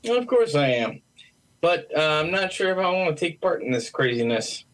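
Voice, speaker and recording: A man's voice: on the high side, very animated, normal speed, rough recording. A man playing a skeptical, sarcastic coworker in an amateur audio play, with dry, hesitant interjections. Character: skeptical, sarcastic male coworker, reluctant to join in.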